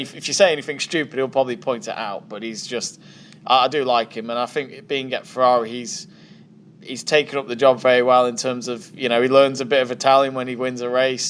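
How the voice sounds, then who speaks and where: A man's voice: medium pitch, a bit bright, slight background noise. A man, a podcast guest giving joking, rambling opinions in casual chat.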